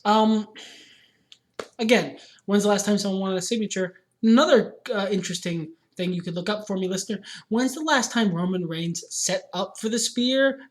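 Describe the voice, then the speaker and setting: A man co-hosting a podcast in casual chat, wry and profane, arguing his points. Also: sits high. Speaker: podcast co-host.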